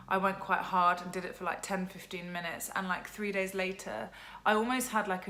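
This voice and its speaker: A young woman talks through her skincare products in a relaxed vlog chat. Her voice is a little croaky, and her sentences keep looping through "like" and "um". She sits at a medium pitch. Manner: chatty, lots of "like" and "um". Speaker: skincare vlogger. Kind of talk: casual product chat to camera.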